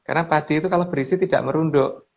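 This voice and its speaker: A man's voice: fairly high pitch, fairly even intonation, rapid-fire, creaky, a little hiss or hum. A man casually explaining old legends over slides, rambling with restarts and often turning to a woman reading the slides. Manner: rambling, with restarts and addressing the reader as Mbak. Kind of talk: casual spoken commentary on slides. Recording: dull lo-fi recording.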